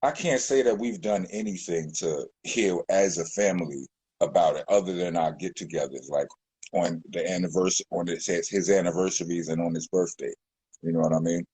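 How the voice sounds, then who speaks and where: A man's voice: expressive, fairly quick, gravelly, warm tone, slightly rough, no room sound, poor audio. A man, a rapper talking in a loose, rambling way full of fillers and restarts in an interview. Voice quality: deep voice. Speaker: rapper, guest. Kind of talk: casual interview talk.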